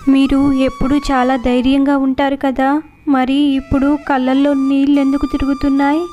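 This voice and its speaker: A devoted old wife and mother in a moral story, acted by a woman. She sounds high-pitched, worried and plaintive, with quiet music behind her. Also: monotone, moderate pace, natural voice. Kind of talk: storybook dialogue.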